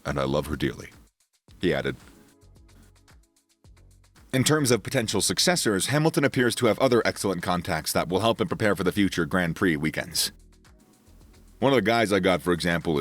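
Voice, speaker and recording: A man with a very low voice narrates a sports news piece in a steady read-aloud manner, reading the quotes in the same voice as the rest. The sound is dry, with no room echo. Soft music plays quietly underneath. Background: faint background music.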